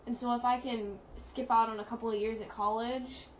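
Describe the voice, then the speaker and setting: A woman's voice: fairly high pitch, little pitch movement, a little fast, crowd noise. A teenage girl chatting on a student podcast, determined and casual, with many "like"s. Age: teens. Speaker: podcast co-host. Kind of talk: casual chat. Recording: distant, dull mic with faint hiss.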